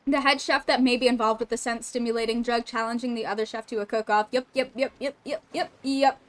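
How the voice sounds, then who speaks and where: Young woman, streamer, casual chat, jokey, high-pitched. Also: fairly even intonation, fairly quick, soft background music. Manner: jokey and playful, swears freely.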